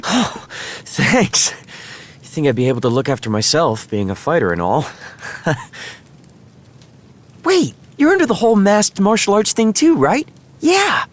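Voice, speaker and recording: Humbled, friendly young townsman fighter for a game; natural, hesitant, animated intonation. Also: on the high side, a little slow. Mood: friendly, surprised. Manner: hesitant, informal, sheepish. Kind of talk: natural video game dialogue.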